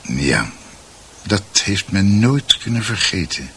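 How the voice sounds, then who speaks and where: An actor playing a grave man who earnestly and deliberately recounts a family's past in a radio drama. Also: low voice, very animated, sharp tone, a little hiss or hum, full character voice. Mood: earnest, regretful.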